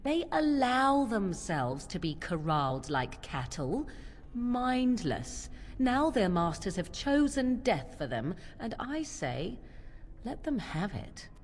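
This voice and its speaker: A woman's voice: medium pitch, big pitch swings, a little hiss or hum. A woman playing a scornful, haughty young witch in a video game, drawling with disdain. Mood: contemptuous. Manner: disdainful, slow, drawling emphasis. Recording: long hall reverb.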